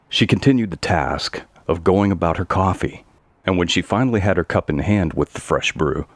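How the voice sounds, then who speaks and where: A man's voice: sits low, dry recording. A man narrating a scripted audio story.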